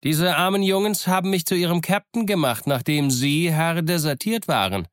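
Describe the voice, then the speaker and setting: A man acts out a wily pirate chief in an audiobook adventure. The voice is pushed high and loud, calling out jovially with swaggering, ingratiating politeness, recorded very dry. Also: moderate pace, clean tone, dry recording, close to an everyday voice. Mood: wily, formal. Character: bold, cheerful, wily male pirate leader calling out.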